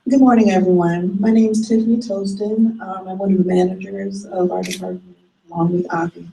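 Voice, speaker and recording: A woman from Florida introduces herself from a distance in a calm, unhurried way. Her voice is gravelly and warm in tone, and faint ambient noise sits behind her. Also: medium pitch. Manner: calm, with pauses and fillers. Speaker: department manager. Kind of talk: self-introduction.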